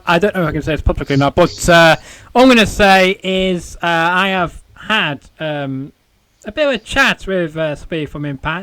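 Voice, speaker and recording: A man hosting a casual interview show, chatty and rambling, with a croaky voice. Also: on the high side, fairly bright tone, no echo. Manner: rambling, chatty, many fillers. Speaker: podcast host.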